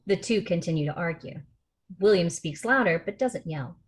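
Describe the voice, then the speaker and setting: Woman reading screenplay action lines out loud, slightly low voice, table read narration. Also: expressive, normal speed. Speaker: narrator reading stage directions. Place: remote video call rehearsal.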